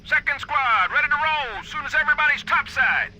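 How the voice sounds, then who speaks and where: A man's voice: very high voice, expressive, brisk, bright, forceful tone, muffled recording, a little hiss or hum, full character voice. A man playing a combative marine sergeant in a video game, barking urgent orders to his squad. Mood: rallying.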